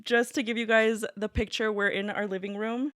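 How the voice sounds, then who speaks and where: A woman's voice: mid-range voice, flat delivery, a bit bright. A woman of twenty hosting a casual podcast interview in her living room, upbeat and encouraging toward her guest. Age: 20s. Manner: upbeat, encouraging, quick to agree. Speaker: podcast host.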